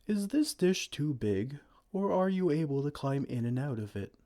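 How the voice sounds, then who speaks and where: A man playing a soft-spoken gentle giant. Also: fairly high pitch, big pitch swings, moderate pace. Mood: tender. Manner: soft-spoken, soothing, tender pet names. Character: kind gentle male giant caring for a tiny human. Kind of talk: ASMR roleplay.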